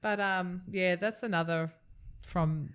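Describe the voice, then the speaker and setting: A middle-aged woman with a slightly low voice, co-hosting a casual chat podcast. She vents about everyday troubles with a laugh in her voice. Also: steady tone, slow pace, a bit bright, clear, resonant tone. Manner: chatty, laughs often, venting with humour. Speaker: podcast co-host.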